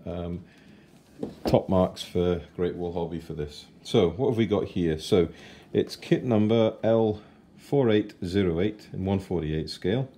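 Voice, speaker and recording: A man in his forties giving a chatty, unhurried model kit review at his kitchen table. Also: low-pitched, mellow. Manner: chatty and unhurried, with fillers and self-corrections. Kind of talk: casual unboxing review.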